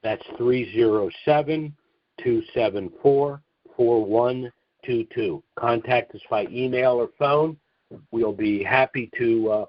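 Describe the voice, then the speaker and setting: A man hosting a business conference call and question session, explaining things in a halting way with stammered restarts. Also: medium pitch, dry recording. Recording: dull lo-fi call audio.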